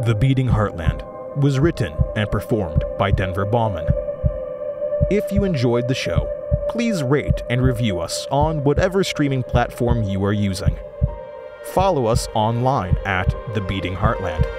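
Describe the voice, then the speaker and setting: A man's voice: mid-range voice, very animated, a little slow, over loud music. A man reading scripted closing credits as an announcer.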